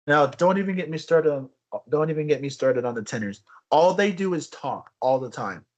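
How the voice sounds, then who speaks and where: Young male podcast co-host, slightly high voice, chatty and rambling. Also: a little fast, lo-fi sound. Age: young adult. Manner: rambling, restarts often, animated storytelling. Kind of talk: casual chat.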